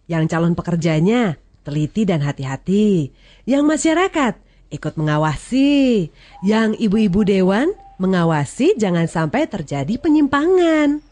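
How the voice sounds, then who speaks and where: A woman acts out a knowledgeable, firm advisor in an educational radio drama. Her medium-pitched, voice lays things out patiently and instructively. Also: very animated, a little slow, dark tone. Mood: admonishing. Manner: didactic, firm.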